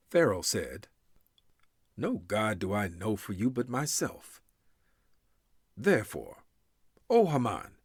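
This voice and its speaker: A man reading scripture aloud in a solemn, formal and measured recitation style. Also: fairly low pitch, no echo, studio quality. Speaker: scripture reader.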